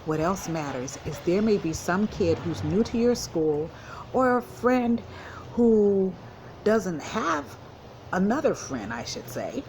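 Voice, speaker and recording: A woman school counselor speaking to young children in simple, encouraging words with deliberate emphasis, as in a read-aloud lesson. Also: medium pitch, sing-song, darkish tone, a little hiss or hum, poor audio.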